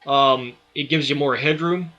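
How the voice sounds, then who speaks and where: A man giving a software tutorial, explaining each step in an unhurried way with many fillers, speaking into a desktop studio microphone. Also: medium pitch, fairly even intonation, highs cut off. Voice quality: loud voice. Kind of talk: screen-recorded tutorial.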